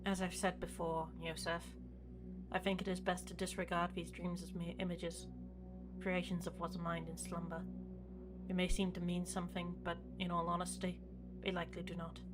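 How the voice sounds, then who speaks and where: Woman acting sci-fi ship prefect, bureaucratic, measured, formal, lowish flat voice, music underneath. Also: natural voice. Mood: composed, formal. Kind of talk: scripted sci-fi audio drama log.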